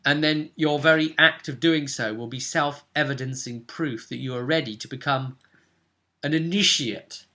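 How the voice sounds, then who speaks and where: A man speaking as game master and host, casually recapping a tabletop roleplay session in an animated, explanatory manner. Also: medium pitch, normal speed.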